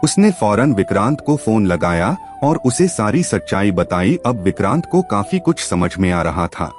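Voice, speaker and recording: A man narrating a scripted audio story with a dramatic, expressive delivery. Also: lowish voice, moderate pace. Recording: music behind the voice. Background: background music.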